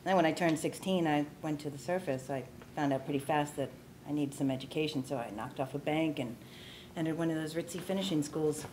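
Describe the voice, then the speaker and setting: A woman's voice: deep voice, a little fast, darkish tone, a little hiss or hum, lightly acted, low-quality recording. A woman playing a tough, streetwise astronaut, blunt and matter-of-fact, at a comedy table read.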